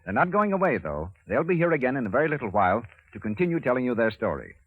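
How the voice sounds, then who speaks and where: Animated male announcer on old radio. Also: mid-range voice, fast, breathy edge. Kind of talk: scripted 1930s radio announcing. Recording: muffled vintage 1930s radio broadcast.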